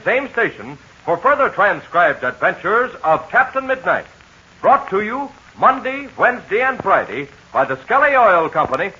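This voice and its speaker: A man with a bright, sprightly voice announces an old radio adventure serial, swinging his pitch up and down as he pitches a sponsor's giveaway to children. The sound is dull and lo-fi, like an old broadcast transcription. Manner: eager, chummy sales patter aimed at children.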